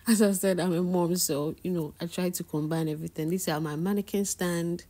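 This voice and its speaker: A woman speaks in a lowish, mellow voice, chatty and enthusiastic, sprinkling in "you know" as she walks through a small indoor salon. She sounds like a casual vlogger filming on a handheld camera. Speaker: wig maker and hairstylist giving a salon tour. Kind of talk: casual home studio tour vlog.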